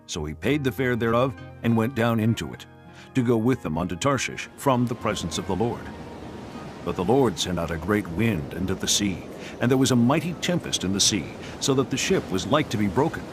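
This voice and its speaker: A man's voice: on the low side, expressive, brisk, music in the background. A man giving solemn, scripted narration for a dramatised scripture reading.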